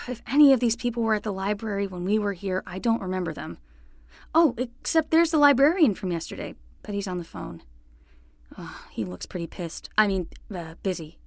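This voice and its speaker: A woman's voice: medium pitch, normal speed, gravelly, no room sound, clean studio sound, lightly acted. A woman playing a sensible, mildly exasperated young nanny in a fiction podcast, reasoning and a little hesitant. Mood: wry, exasperated.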